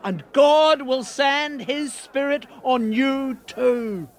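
Peter the apostle, as in a radio play, is earnest and plain-spoken. His voice sits unusually high and moves at an unhurried pace. He sounds sure of himself, urging and steady. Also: heavily acted. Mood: earnest preaching. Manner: earnest, plain-spoken, urgent when preaching. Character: Peter, bold fisherman apostle, middle-aged man. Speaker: preacher to a crowd. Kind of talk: radio drama acting.

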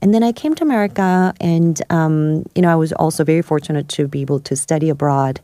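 A woman from South Korea, a guest in a studio interview, telling her life story with many pauses and fillers. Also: deep voice, mellow, dry recording. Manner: hesitant, many fillers and restarts. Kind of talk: podcast interview, personal story.

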